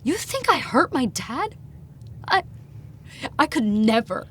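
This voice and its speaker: An actress playing an anxious, grieving young woman in an audio drama, halting and incredulous, often sighing. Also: sits high, sing-song, breathy edge, full character voice. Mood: hurt, defensive. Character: anxious, grieving young woman, defensive about her dead father.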